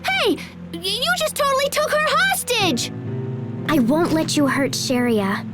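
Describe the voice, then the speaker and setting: An actress playing an excitable, quirky young girl adventurer in a video game, slangy and exuberant. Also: very high-pitched, measured pace. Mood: indignant. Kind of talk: animated video game voice acting. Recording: loud music behind the voice.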